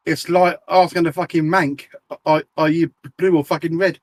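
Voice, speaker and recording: A man's voice: fairly high pitch, little pitch movement, moderate pace. A man streaming a game, chatting casually with friends in a rambling, stammering way and swearing often. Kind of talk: casual voice chat while gaming.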